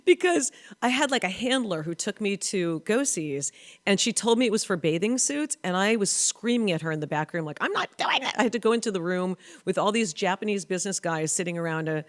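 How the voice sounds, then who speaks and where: A woman's voice: medium pitch, animated, brisk. Chatty woman host telling stories. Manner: laughing, storytelling. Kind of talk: casual chat. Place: home studio with a lit backdrop. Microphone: studio mic on a boom arm.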